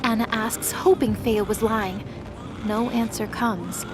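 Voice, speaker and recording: High-voiced woman narrating a dramatic story. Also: steady tone, takes it slowly, noisy background. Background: music.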